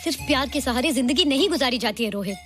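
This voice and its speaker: An actress playing a gentle, sensible young woman in film drama, explaining things patiently. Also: sits high, animated, fast, faint music behind. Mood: gently explaining. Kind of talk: Hindi film drama dialogue.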